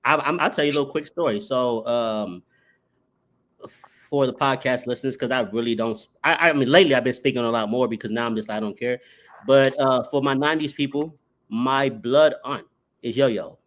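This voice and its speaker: A man co-hosting a podcast in casual chat, telling stories with a voice that jumps up on punchlines. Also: normal speed. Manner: animated storyteller, rising pitch on punchlines. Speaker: podcast co-host.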